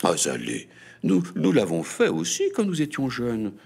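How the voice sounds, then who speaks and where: A man voicing a kind, sensible father flower in a storybook reading, with calm, reasoning delivery. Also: lowish voice, measured pace, gravelly, husky, exaggerated voice. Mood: soothing.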